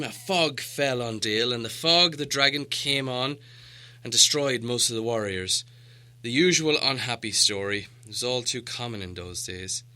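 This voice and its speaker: A proud old dwarf lord acted out by a male reader tells his people's history like a storybook elder. He is solemn and grandiose, with a very bright, forceful edge to a middle-pitched voice. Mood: urgent, dramatic. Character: proud, solemn old dwarf leader recounting lost glory. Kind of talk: audiobook character dialogue.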